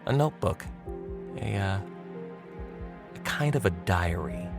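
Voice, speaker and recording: A low-voiced, gravelly dead ex-convict narrating a noir radio play. He is wry and slangy, buttonholing the listener like an old pal. Music plays underneath. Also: animated, measured pace, full character voice. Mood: wry, griping. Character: sardonic dead ex-convict telling his own murder story. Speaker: actor playing the narrator of a radio mystery.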